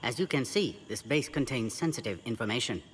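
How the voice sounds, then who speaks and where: An actor playing a brisk, polite alien science officer in a video game, with a technical manner of talking. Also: male voice, medium pitch, lively intonation, natural voice. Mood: courteous. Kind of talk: video game cutscene acting. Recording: clearly noisy background ambience.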